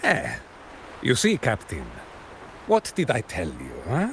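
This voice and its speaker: A man's voice: mid-range voice, wildly swooping pitch, slow, a little hiss or hum. An actor playing a smug, melodramatic romantic man in a video game, with a theatrical, declamatory delivery. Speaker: companion character in a video game.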